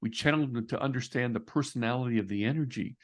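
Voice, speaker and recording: A man hosting a casual discussion, explaining and giving practical pointers in a halting way with repeated phrases. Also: medium pitch. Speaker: show host.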